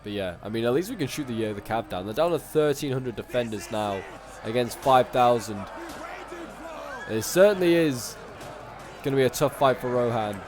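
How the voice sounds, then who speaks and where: A man with a bit of a cold, chatting casually through strategy-game commentary, rambling along and then bursting out excitedly at big moments. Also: mid-range voice, normal speed. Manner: chatty, with sudden excited outbursts at big plays. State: sniffly, coming down with a cold.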